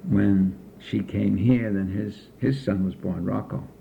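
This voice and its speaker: Older male interviewee, gravelly low voice, unhurried reminiscing, tinny lo-fi recording. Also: fairly even intonation, slight background noise. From United States. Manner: slow, measured recollection with frequent pauses. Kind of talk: oral history interview.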